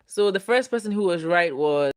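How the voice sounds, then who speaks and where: A woman's voice: fairly low pitch, animated, moderate pace. A woman in her twenties hosting a casual livestream, reading viewer comments aloud in a playful, teasing way and laughing often. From Ghana. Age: 20s. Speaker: livestream host.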